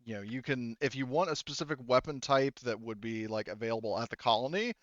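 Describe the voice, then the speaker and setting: A man's voice: medium pitch, fast talker, a bit bright, no echo, highs cut off. Casual sweary man running a game. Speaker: game master. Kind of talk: casual tabletop game talk.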